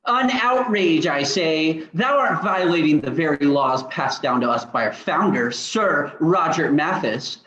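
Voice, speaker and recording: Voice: mid-range voice, expressive, unhurried, hardly any pauses, fairly bright tone. A performer playing a pompous, indignant old male village elder with archaic speech in a radio drama reading. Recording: dull, roomy lo-fi sound.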